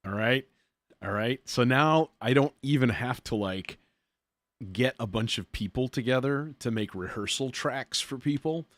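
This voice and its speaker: A man's voice: mid-range voice, a little slow, no echo. A man hosting a casual music production demo, thinking aloud in an informal, self-deprecating way as he works. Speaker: livestream host, music producer demonstrating software.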